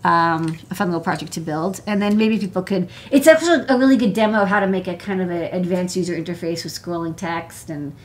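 A woman's voice: lowish voice, fast, few pauses. Female American engineer for a casual tech stream, chatty and informal, wandering into asides as she explains. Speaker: electronics engineer presenting her work. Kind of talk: casual livestream tech talk. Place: indoor desk workspace.

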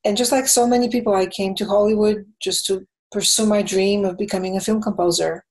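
A woman's voice: mid-range voice, steady tone, poor audio. Bulgarian-accented woman in her fifties, thoughtful interview guest on a webcam call. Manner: thoughtful, fills pauses with "you know". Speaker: film and game composer, guest. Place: home room with bookshelves. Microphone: webcam on video call.

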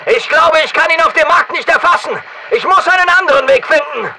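An actor playing a battle-hardened special forces soldier in a shooter game, giving clipped, commanding callouts over military radio. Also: male voice, extremely high pitch, brisk, vocal fry, heavy background noise. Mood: alert, focused.

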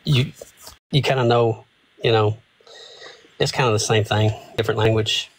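A man's voice: lowish voice, fairly even intonation, normal speed, low-quality recording. A man joining a livestream by video call, chatting casually in an easygoing way with hesitant restarts. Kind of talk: casual chat.

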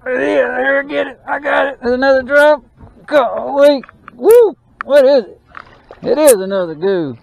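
A man giving excited running commentary while fishing from a small boat on a lake, recorded on a boat-mounted action camera. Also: extremely high pitch, normal speed, vocal fry. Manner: excited, drawling exclamations and mutters to the fish. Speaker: fishing channel host. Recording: dull action-camera audio with faint noise.

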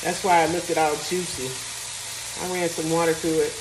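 A woman's voice: low-pitched, flat delivery, brisk. A woman chatting casually while she cooks in her home kitchen, thinking aloud and reading recipe steps. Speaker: cooking vlogger. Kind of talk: casual cooking vlog chat. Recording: echoey kitchen, mic at a distance, frying noise. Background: sizzling and stirring in a pan.

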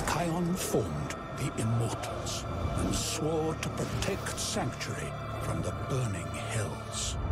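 A man playing a solemn storyteller of ancient legend, narrating a fantasy game cutscene gravely and dramatically. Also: medium pitch.

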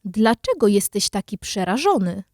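A woman playing a calm, helpful fairy in a children's audio story, reassuring as she explains things. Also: mid-range voice, moderate pace, no echo, studio quality.

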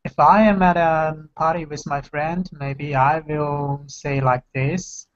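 A man from China with a Chinese accent, giving halting answers as an English learner. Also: a little high, steady tone, dry recording. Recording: dull lo-fi video call.

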